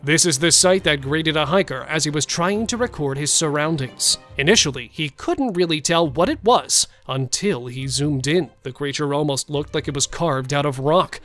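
A man narrates a scripted countdown in a slightly higher-than-average voice. He builds suspense and turns to the viewer with rhetorical questions. Quiet music plays underneath him. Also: moderate pace, few pauses.

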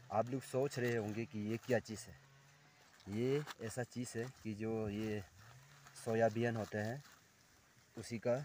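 A young man with a fairly low voice talks viewers through his outdoor cooking in an easy, unhurried way. He speaks into a phone camera held close. Faint outdoor noise sits under his voice. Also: a little mellow. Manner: plain, unhurried explaining, addresses viewers directly. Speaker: cooking vlogger. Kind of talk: casual explaining to camera. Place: outdoors on a forested hillside.

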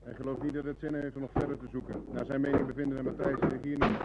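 A man's voice: medium pitch, level tone, racing, lo-fi sound, lightly acted. An actor playing a dutiful policeman relaying messages in a radio drama. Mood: dutiful, businesslike. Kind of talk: Dutch radio drama acting. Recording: very noisy old radio recording.

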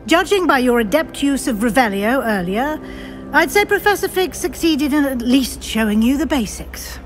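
Older witch professor, British, brisk, formal, kindly but firm, very expressive pitch, game dialogue over music. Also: low-quality recording. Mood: brisk, encouraging. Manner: formal, measured, articulate. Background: background music.

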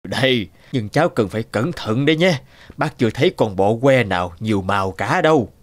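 A performer voicing a gentle, cautious elder spider in a cartoon fable, kindly and hesitant. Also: medium pitch, animated, fairly quick, no echo, natural voice. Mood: concerned. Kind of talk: animated fable dialogue.

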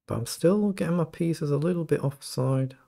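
A middle-aged man with a croaky voice, thinking aloud as he plays fast chess and comments on each move. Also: fairly high pitch, warm tone. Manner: thinking aloud, muttering over moves. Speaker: chess streamer. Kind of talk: live game commentary.

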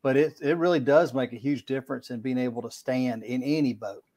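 A man's voice: medium pitch, brisk, a little mellow. Folksy man on a video call. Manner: rambling, repeats words, folksy. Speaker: podcast guest, kayak angler. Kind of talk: casual video-call interview. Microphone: video call webcam mic.

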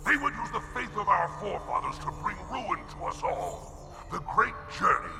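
An actor playing a defiant alien rebel preacher giving an impassioned, rousing speech in a gravelly voice. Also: male voice, high-pitched, huge pitch swings, normal speed, over music, heavily acted. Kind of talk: video game cutscene acting. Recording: loud orchestral score behind.

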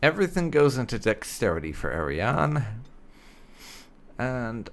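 A man's voice: medium pitch, very animated, measured pace, vocal fry. A man giving gameplay commentary on a role-playing game, speaking bluntly and with some swearing. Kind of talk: gameplay commentary, partly in character.

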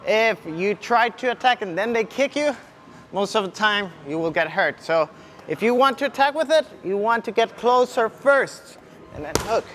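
High-pitched young male coach. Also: expressive, normal speed, a bit bright. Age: young adult. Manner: demonstrating punches while talking. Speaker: kickboxing coach. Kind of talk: instructional demonstration with short cues. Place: large indoor MMA gym. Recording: clearly audible background ambience noise.

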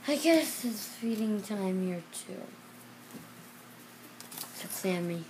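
A child reading a children's storybook aloud in a halting way, repeating and correcting words. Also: medium pitch, lively intonation, noisy background. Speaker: reader.

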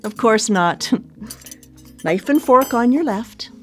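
An actress playing a brisk, sardonic older sister and proud home cook in a contemporary radio drama, with a dry, teasing manner. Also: female voice, fairly high pitch, lively intonation, soft background music. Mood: caring, dry.

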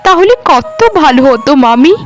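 An overworked young maid from a cartoon , voiced by a woman, always pleading her case. The voice is very high and lively in pitch, plaintive and full of protest. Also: moderate pace. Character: overworked, pleading young orphan housemaid girl. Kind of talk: Bengali cartoon dialogue.

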